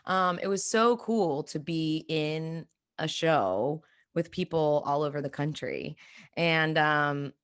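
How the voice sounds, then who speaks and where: A woman's voice: low voice, takes it slowly, clean tone. A woman actor telling anecdotes in an informal, casual interview manner.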